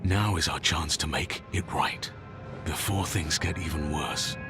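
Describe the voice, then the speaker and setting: An actor playing a stern, resolute warrior hero in a video game, speaking tersely with determination over loud music. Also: male voice, rumbling low, unhurried. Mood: grim. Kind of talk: video game cutscene acting.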